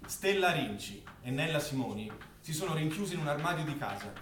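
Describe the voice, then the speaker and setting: Echoey male narrator, slightly high voice. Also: very animated, fairly quick. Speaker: actor-narrator. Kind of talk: dramatic scripted stage narration. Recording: echoey, noisy, distant stage recording.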